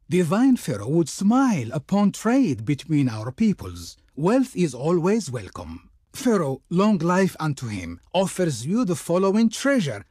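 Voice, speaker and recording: An actor playing a haughty, fawning envoy of an Egyptian Pharaoh in a video game, with a pompous, ceremonious, declamatory delivery. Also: male voice, high voice, darkish tone, slightly rough, no echo, studio quality, heavily acted. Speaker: diplomat character in strategy game. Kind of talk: theatrical game voice acting.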